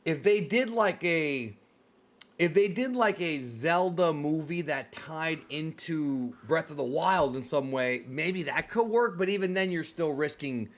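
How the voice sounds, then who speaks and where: A man's voice: on the high side, lively intonation, measured pace. A man hosting a casual, opinionated discussion, speaking emphatically and repeating himself to drive points home. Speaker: host of a video game and film talk show.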